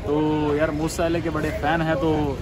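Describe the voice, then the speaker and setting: A man's voice: fairly high pitch, monotone, normal speed. Chatty young man on a busy street. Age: young adult. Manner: chatty, fillers like yaar and guys. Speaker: vlogger. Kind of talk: casual vlog chat. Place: busy outdoor market street. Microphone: phone held at arm's length. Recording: loud street crowd behind. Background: crowd and traffic noise.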